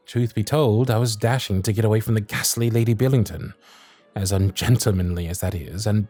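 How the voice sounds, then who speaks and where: An actor playing a wry, honourable young Victorian lord, charming and a little vain, speaking formal, courtly in a romantic audio roleplay. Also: male voice, fairly low pitch, darkish tone, no echo. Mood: flustered, apologetic. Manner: formal, courtly, old-fashioned diction.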